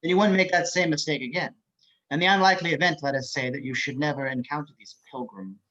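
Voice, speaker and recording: A man's voice: on the high side, steady tone, fast, a bit bright, close to an everyday voice. A man playing an imprisoned psychiatrist in a table read, sly and courtly, with precise, politely mocking delivery. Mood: smooth, probing. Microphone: video call. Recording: dull video-call audio.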